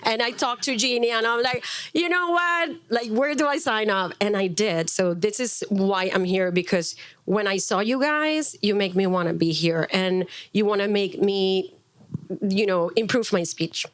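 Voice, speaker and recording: A middle-aged woman, a native Spanish speaker, introducing herself to a group as a wellness coach in a chatty, animated, self-deprecating way. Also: medium pitch, normal speed, no room sound. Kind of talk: informal spoken introduction before a live group.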